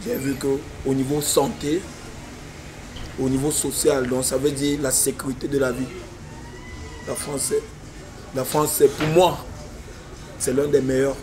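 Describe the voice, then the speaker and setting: A man from Cameroon, a professional boxer, speaking in a measured, deliberate way. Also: medium pitch, level tone, poor audio. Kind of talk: interview. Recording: music underneath.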